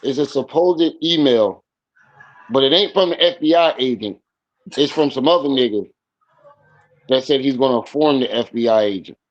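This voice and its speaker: A man's voice: medium pitch, moderate pace, pauses often, highs cut off. A man co-hosting a live stream, chatting casually and restarting his sentences often. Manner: rambling, many restarts. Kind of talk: casual commentary chat.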